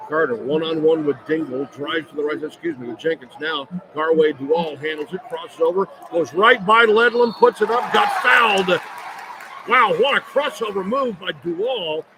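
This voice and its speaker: A man calling live basketball on the radio in clipped running phrases, getting excitable on big plays. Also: fairly high pitch, normal speed. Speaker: play-by-play radio announcer. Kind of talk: live basketball play-by-play commentary. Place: courtside at a large indoor arena. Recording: loud arena noise behind the voice. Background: loud game ambience.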